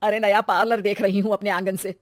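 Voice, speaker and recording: A woman's voice: medium pitch, little pitch movement, a little fast, dry recording, natural voice. A shrewd older mother, acted by a woman, speaks emphatically and with authority. She is caring but commanding, a domestic story matriarch heard in a dry, close recording. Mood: shrewd, firm.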